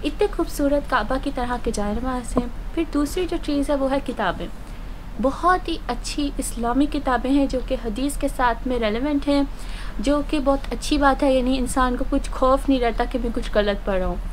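A woman's voice: high-pitched, moderate pace. Enthusiastic Pakistani woman vlogging outdoors. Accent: Pakistani Urdu. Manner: enthusiastic, mixes in English phrases. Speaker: travel vlogger. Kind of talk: casual travel vlog chat. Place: outdoor shopping plaza and shops. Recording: noisy outdoor ambience.

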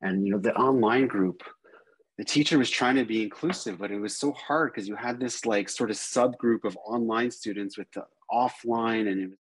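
Man, native English speaker, husky, slightly deep, casual, video call discussion. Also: normal speed, rough recording. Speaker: educational technology consultant.

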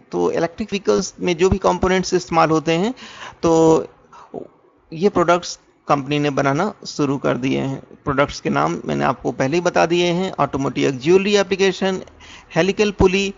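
A man's voice: fairly high pitch, creaky. A man with an Indian accent presenting an explanatory stock analysis as a voice-over, in a muffled lo-fi recording. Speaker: presenter of a stock market education channel.

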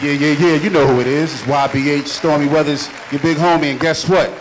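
A man's voice: on the high side, fairly even intonation, a little fast, a crowd in the background, background noise. A middle-aged man hosting an event, hyping up an act into a handheld microphone in an informal way. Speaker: event host. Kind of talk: hype introduction to an audience. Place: indoor restaurant or bar with audience.

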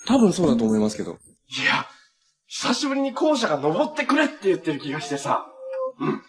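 A man's voice: high voice, wildly swooping pitch, fast talker. An actor playing an easygoing, kind young male hero in a TV superhero drama, speaking in a friendly, earnest, casual way. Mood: relaxed, cheerful. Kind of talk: naturalistic Japanese TV drama acting. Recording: TV drama audio with noticeable background noise.

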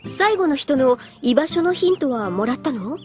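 Prim young woman, earnest, very high animated voice, anime drama. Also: normal speed, faint music behind. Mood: gentle. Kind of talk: Japanese anime audio drama acting.

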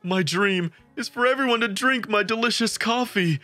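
An actor voicing an excitable young man with big dreams in a manga comic dub, imploring and overdramatic. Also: mid-range voice, normal speed, close to an everyday voice. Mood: desperate pleading. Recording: dry voice with background music.

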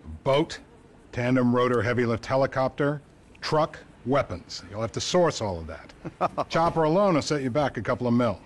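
Man playing businesslike agent, medium pitch. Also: animated, normal speed, close to an everyday voice. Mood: apologetic, businesslike. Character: weary, matter-of-fact male government agent. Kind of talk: video game cutscene acting.

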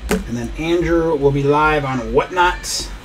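A man's voice: on the high side, measured pace, slight background noise, rough recording. A man hosting a live trading card break, opening packs and rattling off player names as he goes. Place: small indoor studio desk.

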